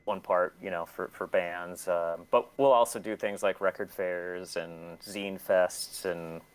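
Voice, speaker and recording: A man's voice: low voice, steady tone, unhurried, smooth, low-quality recording. A man talking casually as an interview guest, rambling in a self-deprecating way and swearing freely. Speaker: interview guest, record label and shop owner.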